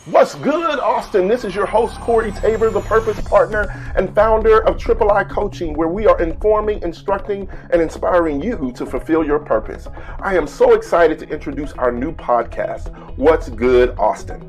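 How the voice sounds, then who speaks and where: A man's voice: high-pitched, moderate pace, faint music behind, rough recording. Upbeat motivational man hosting a podcast. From Texas. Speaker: podcast host. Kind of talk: scripted podcast intro.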